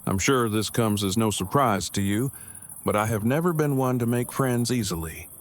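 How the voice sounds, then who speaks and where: A man's voice: mid-range voice, lively intonation, normal speed, close to an everyday voice. A man acting an awkward, earnest survivor in an audio drama, speaking in formal, wordy, stilted phrases. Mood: earnest, nervous. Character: awkward, earnest, verbose male survivor in a zombie apocalypse.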